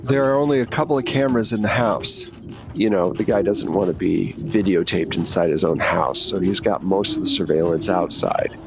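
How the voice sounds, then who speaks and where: A man's voice: deep voice, normal speed, over music. A man voicing a professional woman handler in a tabletop game, briefing in a matter-of-fact, conversational way. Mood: businesslike.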